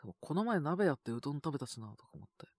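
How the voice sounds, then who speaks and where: A man with a sore, scratchy throat and a cough, chatting casually and intimately in soft, hesitant murmurs as if to someone beside him. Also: fairly high pitch, sing-song, very fast, no room sound, clean studio sound. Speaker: voice actor making situation-voice videos. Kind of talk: intimate casual chat to an unheard partner.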